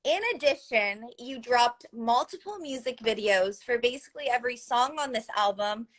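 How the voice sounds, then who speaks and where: A woman's voice: fairly high pitch, normal speed, a bit bright. A young woman hosting a live interview in an upbeat, encouraging way.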